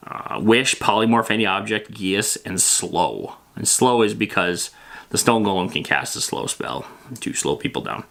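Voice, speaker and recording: A man's voice: lowish voice, measured pace, gravelly, fairly bright tone. A man hosting a casual, loosely improvised explainer on fantasy gaming, talking in a rambling, plain-spoken, matter-of-fact way. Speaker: host of a tabletop roleplaying lore show.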